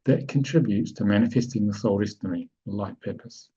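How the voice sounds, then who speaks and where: A man's voice: fairly low pitch, a little fast, dark tone, breathy edge. A man presenting a spiritual webinar, explaining step by step and often checking that his audience follows. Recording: dull lo-fi online call audio.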